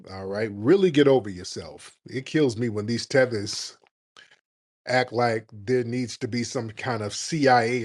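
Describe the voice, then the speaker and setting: Combative mocking man hosting call-ins. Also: mid-range voice. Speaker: host. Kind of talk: live call-in talk show.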